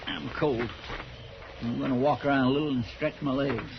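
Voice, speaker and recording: An actor playing a loyal, folksy western deputy with an American Western drawl, in 1950s radio drama style. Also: male voice, mid-range voice, steady tone, normal speed, vocal fry, lightly acted. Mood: folksy, irritable. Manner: folksy, plain-spoken. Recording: muffled, noisy 1950s radio recording.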